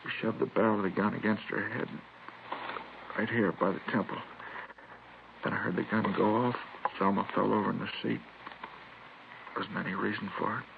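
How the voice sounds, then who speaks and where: A low, creaky-voiced wounded American schoolteacher in his thirties, shaken and earnest, with halting delivery. It is old radio drama on a tinny, very noisy recording. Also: steady tone. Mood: distressed. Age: 30s. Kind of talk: 1950s radio drama acting.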